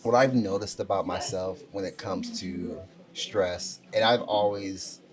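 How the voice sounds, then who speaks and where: A man hosting a casual podcast, talking in an upbeat, animated way and laughing easily. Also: deep voice, a little slow. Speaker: podcast host. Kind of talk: casual conversation.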